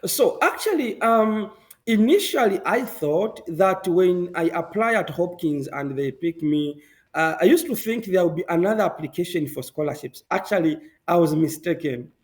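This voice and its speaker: Interview guest from Zambia, male, young adult, high voice, lively and emphatic delivery. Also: normal speed. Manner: animated, laughs often, emphatic repetitions. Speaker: guest, public health professional. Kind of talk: conversational interview answers.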